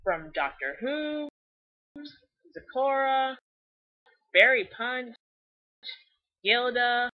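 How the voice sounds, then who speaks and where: A woman's voice: on the high side, big pitch swings, very slow, pauses often. A young woman hosting a fan video with scripted commentary, sarcastic, exaggerated and profane, on a lo-fi mic. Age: young adult. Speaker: host of a fan video series.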